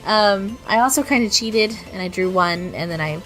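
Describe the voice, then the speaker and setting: A young woman with a cold, her voice raspy and sniffly, rambling casually and self-deprecatingly over her art video with many ums and restarts. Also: mid-range voice, lively intonation, moderate pace, a bit bright, faint music behind, low-quality recording. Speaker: artist narrating her speedpaint.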